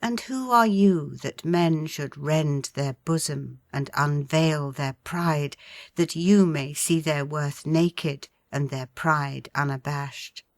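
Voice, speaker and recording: Woman reader, low register, solemn and measured literary delivery, close dry sound. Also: a little mellow, dry recording. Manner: measured, formal, deliberate phrasing. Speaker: audiobook reader. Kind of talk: solemn literary recitation of prose poetry.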